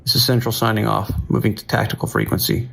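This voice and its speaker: Gravelly, low-voiced weary police dispatcher. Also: male voice, big pitch swings, a bit bright, heavily acted. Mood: weary, grim. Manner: measured, procedural, weary. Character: weary male New York police dispatcher, steady and grim. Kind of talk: audio drama, emergency dispatch acting.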